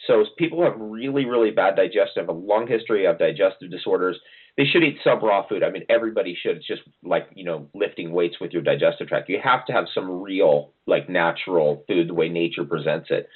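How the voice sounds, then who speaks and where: A man's voice: low voice, a little fast, husky. A middle-aged man from New Jersey, a guest talking casually and rambling with many ums and restarts. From New Jersey, USA. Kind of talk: casual interview answers. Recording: phone-like narrow audio.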